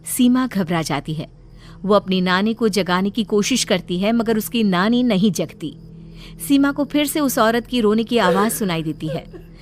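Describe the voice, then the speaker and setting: A woman's voice: mid-range voice, expressive, measured pace. Woman narrating a horror story over music.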